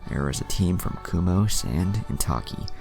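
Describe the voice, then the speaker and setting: A very deep, gravelly male voice narrates a fan fiction story with swooping, animated intonation. Music plays behind the reading. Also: normal speed. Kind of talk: scripted story narration with character dialogue.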